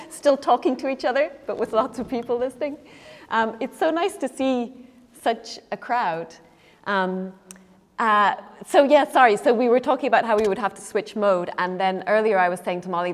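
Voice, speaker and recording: A woman in her thirties with a soft voice talks informally to an audience at a stand mic, telling a story with casual fillers. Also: mid-range voice, big-room sound. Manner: chatty, informal, many fillers. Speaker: writer leading an artist talk. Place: gallery room, seated at a table.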